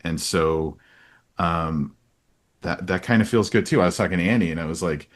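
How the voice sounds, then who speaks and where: A man's voice: low voice, moderate pace. A man hosting a casual book-talk interview, speaking in an earnest, rambling and emphatic way. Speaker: podcast host interviewing a publisher.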